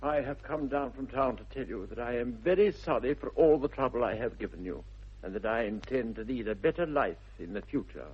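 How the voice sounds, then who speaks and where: A man playing a charming, flippant young upper-class English gentleman with an airy theatrical manner in a radio comedy. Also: medium pitch, brisk, breathy edge, lightly acted. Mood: mock contrite. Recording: dull 1960s radio recording.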